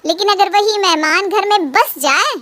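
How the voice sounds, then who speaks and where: A woman voicing a scheming, mocking elder daughter-in-law in an animated family story. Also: very high-pitched, moderate pace. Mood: scornful. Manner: taunting and sneering.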